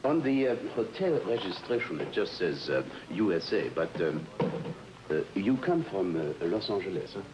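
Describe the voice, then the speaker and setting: A deep, fawning hotel manager. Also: male voice, sing-song, background noise. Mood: eager, fumbling. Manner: halting, hesitant, fawning speech with searched-for words. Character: fat, obsequious male hotel manager on a tropical island. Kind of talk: old-time radio drama acting. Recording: old radio recording with music.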